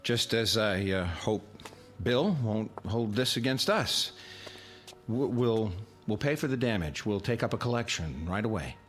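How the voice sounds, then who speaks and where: Uneasy, lowish-voiced neighbor man. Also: animated, unhurried, faint music behind. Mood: apologetic. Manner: hesitant, stammering. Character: conciliatory, uneasy suburban neighbor offering amends. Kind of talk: dramatic radio acting.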